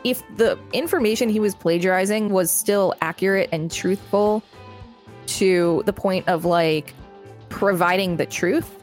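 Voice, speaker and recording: A woman's voice: mid-range voice, measured pace, soft background music. A woman co-hosting a podcast, reading an article aloud and adding informal, conversational comments. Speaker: podcast co-host.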